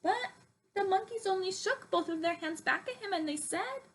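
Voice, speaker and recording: Very animated, high-voiced woman teacher reading aloud. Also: normal speed, a little hiss or hum. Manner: sing-song, expressive, emphatic. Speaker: teacher reading to young children. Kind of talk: animated picture-book read-aloud with questions to children.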